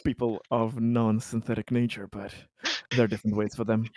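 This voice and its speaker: A man playing a tabletop game, talking in a deliberate, joking way and swearing casually. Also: fairly low pitch, flat delivery, warm tone, no echo. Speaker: player.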